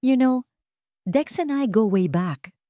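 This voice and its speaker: A woman's voice: on the high side, lively intonation, takes it slowly, warm tone, dry recording, clean studio sound, voice pushed up. A woman voicing an elegant, scornful young rich rival in a romance story, with sugary condescension and a Filipino accent. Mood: sugary, condescending. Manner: sugary condescension, mixing English into Tagalog.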